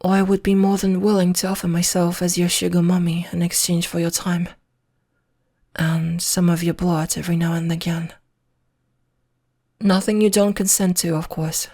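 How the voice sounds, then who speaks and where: Low, soft British vampire seductress, acted by a woman. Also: flat delivery, normal speed. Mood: courteous, smitten. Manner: formal, archaic, courteous phrasing. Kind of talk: ASMR roleplay.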